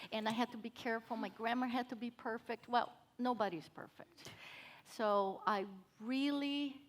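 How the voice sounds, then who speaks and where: Earnest Brazilian-accented woman on a panel. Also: on the high side. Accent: Brazilian Portuguese. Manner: earnest, many fillers and restarts. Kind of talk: conference panel discussion. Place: conference stage.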